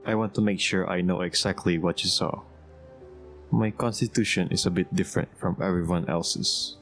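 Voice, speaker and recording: An actor playing a calm, caring young traveler in a video game, polite and earnest in delivery. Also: male voice, deep voice, moderate pace, faint music behind. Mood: resolute.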